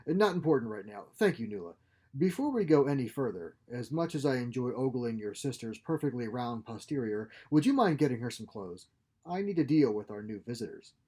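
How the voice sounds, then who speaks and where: Man acting wry, theatrical exiled scientist, mellow and husky. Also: medium pitch, very animated, a little fast. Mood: wry, confident. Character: exiled male scientist, wry, scheming, feigning desperation.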